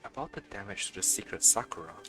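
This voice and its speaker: A man with a low voice streams a video game, reading the on-screen text aloud. Game music plays clearly behind him. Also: lively intonation, fast talker.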